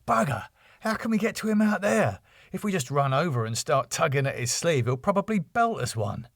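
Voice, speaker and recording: Guy doing a frantic sitcom schemer bloke, pleading and exasperated, voice pushed up high, dry sound. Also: sing-song, a little fast, gravelly, dry recording, exaggerated voice. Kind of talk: sitcom script read aloud.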